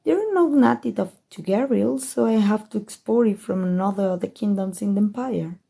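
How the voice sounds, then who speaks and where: A haughty evil queen, played by a woman with a Mexican accent, speaks at a leisurely pace in a dark, breathy voice. She muses on her schemes with playful condescension, as if to a single listener in an ASMR roleplay. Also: mid-range voice. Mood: indulgent, musing. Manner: slow, measured, musing delivery addressed to a listener.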